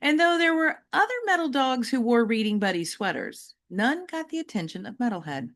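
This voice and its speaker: A woman reading a children's novel aloud like an audiobook, with deliberate, emphatic and varied phrasing. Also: a little high, sing-song, fairly bright tone. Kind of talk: expressive audiobook-style storytelling for children.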